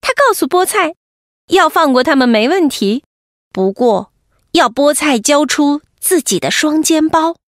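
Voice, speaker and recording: A woman storyteller narrating an expressive bedtime story for children, animated and speaking to them directly. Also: high-pitched, a little slow, dry recording, studio quality.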